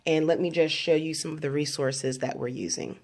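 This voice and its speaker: A woman's voice: low voice, steady tone, fairly quick, a little mellow. A woman hosting her own channel, casually walking through her son's homeschool schedule step by step, with frequent fillers. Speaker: homeschooling mother and channel host. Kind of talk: casual explanatory vlog.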